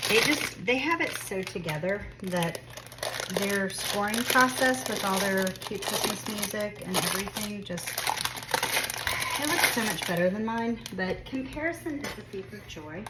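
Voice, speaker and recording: A woman's voice: medium pitch, expressive, measured pace, heavy background noise. A young woman talking through her bread-baking in her home kitchen, chatty and reassuring, with a congested, sniffly voice. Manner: chatty, self-deprecating, reassuring. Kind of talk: casual baking tutorial talk.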